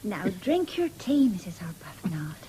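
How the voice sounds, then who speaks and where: A woman's voice: on the low side, big pitch swings, moderate pace, mellow, slight background noise. An actress playing a polite, sensible young English servant girl in a radio drama. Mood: soothing, patient. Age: young adult. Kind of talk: 1970s radio drama acting.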